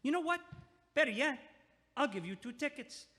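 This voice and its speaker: Man playing a loud, high-voiced pushy New York neighbor. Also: expressive, moderate pace, full character voice. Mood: mocking. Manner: blunt, sarcastic, street-talking. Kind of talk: audio-play acting. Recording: echoey, long hallway-like reverb.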